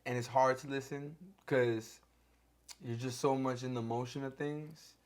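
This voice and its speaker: A man's voice: medium pitch, fairly even intonation, measured pace. Easygoing male podcast host. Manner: easygoing, backchannels and repeats himself. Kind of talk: casual conversation.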